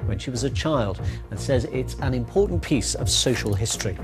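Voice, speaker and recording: A man with a British accent reading scripted news headlines in a formal, measured manner. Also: mid-range voice, lively intonation, music in the background. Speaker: news presenter.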